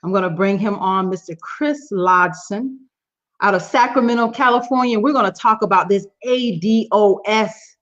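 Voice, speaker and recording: A woman's voice: mid-range voice, normal speed, a bit bright. Female host, casual and upbeat, repeats herself, dull lo-fi audio. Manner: upbeat, repeats phrases, laughs easily. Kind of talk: casual live broadcast chat. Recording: muffled lo-fi audio.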